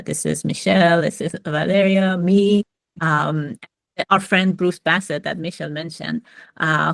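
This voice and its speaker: A woman's voice: low voice, creaky, no echo. A woman from Iran, a physicist, speaking hesitantly with many fillers on a video call. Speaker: physicist and mentoring programme founder. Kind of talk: online panel talk.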